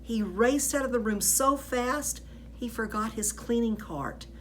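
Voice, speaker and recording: A woman reading a children's story aloud in an expressive, deliberate, dramatic way. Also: on the high side, a little hiss or hum. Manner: dramatic, deliberate phrasing, drops into whispers. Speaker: school staff reader of children's books.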